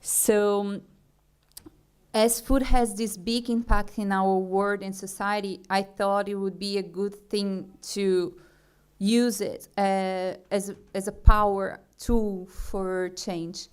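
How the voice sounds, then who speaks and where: A young woman from Brazil, speaking English with a Brazilian accent as a conference speaker. She talks slowly and hesitantly, pausing on fillers and restarting her sentences. Her voice is smooth and clear, at a middle pitch. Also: steady tone, a little mellow. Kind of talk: forum talk.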